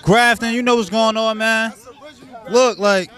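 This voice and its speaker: Slow, very high-pitched street talker. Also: male voice, steady tone, some chatter behind. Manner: slow hyped street talk, shouting. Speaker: street freestyle guest.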